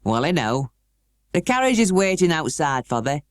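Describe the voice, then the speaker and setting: A woman voicing a burly, good-natured station master with a broad, rough Yorkshire accent. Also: low voice, sing-song, normal speed, dry recording. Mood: gruff, friendly.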